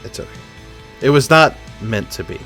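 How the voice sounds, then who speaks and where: Animated tabletop player with lively pitch. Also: normal speed, soft background music. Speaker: player in a roleplay podcast. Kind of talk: casual chat.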